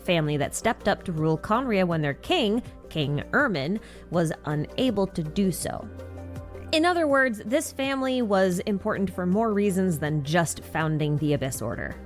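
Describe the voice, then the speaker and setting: A woman's voice: fairly low pitch, expressive, moderate pace, faint music behind. A woman reading conversational scripted narration for a game recap, with wry, informal asides.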